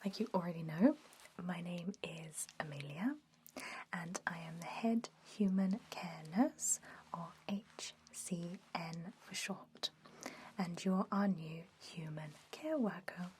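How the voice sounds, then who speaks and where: A woman playing a friendly, quietly sinister vampire head nurse in a soft, breathy ASMR whisper. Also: fairly low pitch, slight background noise, low-quality recording. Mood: friendly, briskly professional. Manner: slow, gentle, coaxing, professional patter. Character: friendly chatty female vampire head nurse, cheerfully sinister toward captives.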